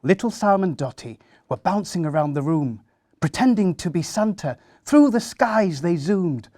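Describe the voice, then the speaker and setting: A man's voice: sits high, expressive, moderate pace, mellow, no echo, studio quality. A man introducing and reading a children's story for a charity. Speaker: guest story reader for a charity.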